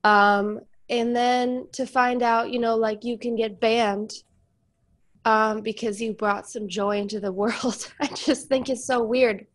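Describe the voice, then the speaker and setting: A woman's voice: on the high side, steady tone, slow. Congested woman chatting casually. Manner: chatty, with many fillers. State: congested from a cold. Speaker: musician guest. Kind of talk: casual discussion. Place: online community call.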